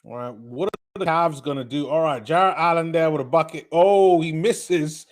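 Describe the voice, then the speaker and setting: A man with a British accent blended with North American, hosting a casual live watch-party stream, chatting with viewers and getting excited on big plays. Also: a little high, normal speed. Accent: British mixed with North American. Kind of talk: live casual game commentary and chat.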